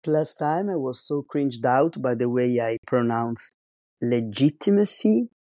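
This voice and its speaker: A man with an Italian accent talks through a solo podcast at an unhurried, careful pace, stopping to hunt for words in a language that isn't his first. His voice is mellow and dark, recorded close and dry with no room sound. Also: medium pitch, no room sound. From Italy. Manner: slow, careful, searching for words. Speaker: podcast host.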